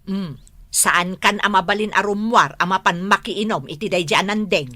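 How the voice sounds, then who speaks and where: A woman's voice: medium pitch, normal speed, sharp tone, no room sound. A woman playing a strict, scolding wife who nags her husband in an Ilocano radio drama, with an Ilocano accent. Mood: nagging, irritated. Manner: scolding, threatening, exclamatory.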